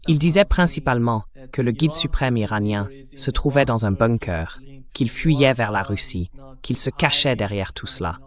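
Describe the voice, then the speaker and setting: A man's voice: mid-range voice, lively intonation, moderate pace. A man's synthetic AI voice reading a translated interview as the interviewer.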